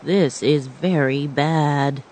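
A woman's voice: sits low, steady tone, very slow, a little mellow, heavily acted. A woman voicing a serious tin woodman. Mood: grave. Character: steady, hardworking male tin woodman. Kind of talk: storybook reading.

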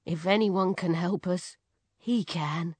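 A woman's voice: fairly low pitch, takes it slowly, breathy edge, no room sound, pitched above the performer's own voice. A woman voicing a protective, worried farmer father with an urgent manner in a storybook. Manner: loud, urgent, pleading. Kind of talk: children's storybook character voice.

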